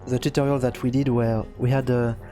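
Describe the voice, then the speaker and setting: A man giving an informal software tutorial, thinking aloud in a halting, self-correcting way. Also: medium pitch, level tone, faint music behind. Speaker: tutorial presenter.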